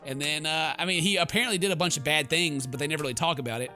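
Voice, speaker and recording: A man's voice: on the high side, racing, bright, forceful tone. A man hosting a gaming video, reading story text aloud in a dramatic way and breaking off into casual, jokey asides. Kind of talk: let's play, reading game text aloud with casual reactions. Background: game music.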